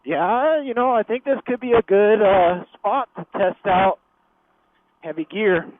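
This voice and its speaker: A man's voice: sits high, unhurried, no room sound. A man chatting casually as he rides, heard through a motorcycle helmet intercom. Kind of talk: casual chat while riding. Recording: dry, tinny helmet intercom radio.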